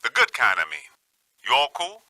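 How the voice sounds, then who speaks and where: A man's voice: mid-range voice, wildly swooping pitch, normal speed, bright, forceful tone, no room sound, full character voice. A man playing a streetwise, wisecracking ghost hunter in a video game, speaking in a colloquial, wry, plain-spoken way. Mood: informative, casual. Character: streetwise, wisecracking male ghost-hunting team member.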